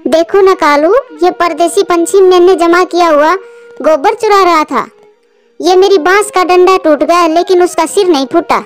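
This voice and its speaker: A woman performing an excitable, chatty female bird from a children's cartoon. The voice is extremely high and dramatic, with faint music playing underneath. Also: moderate pace. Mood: scolding. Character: excitable chatty female village birds, aunt and wives. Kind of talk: Hindi children's cartoon dialogue. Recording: music behind the voice.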